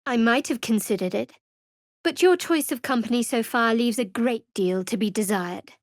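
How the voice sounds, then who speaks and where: A woman's voice: a little high, normal speed, dry recording, natural voice. Woman acting a guarded, sardonic young adventurer, dry-miked. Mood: cold, dismissive. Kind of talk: video game character voice acting.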